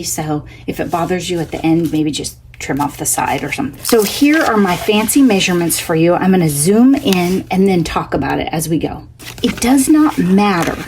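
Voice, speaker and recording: A woman with a lowish, slightly scratchy voice walks viewers through a card project at her craft table. She is chatty and homey, thinking out loud as she works, and faint background noise sits under her talk. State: scratchy from a cough. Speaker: craft channel host. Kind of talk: casual crafting tutorial.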